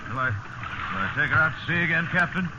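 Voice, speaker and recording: A man's voice: on the high side, lively intonation, quick pace, lightly acted. An actor playing a dutiful, hardy oarsman in a small boat, with plain deferential sailor talk, in a 1950s radio drama. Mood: urgent. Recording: muffled old radio recording, loud sea noise. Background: loud water and boat noise.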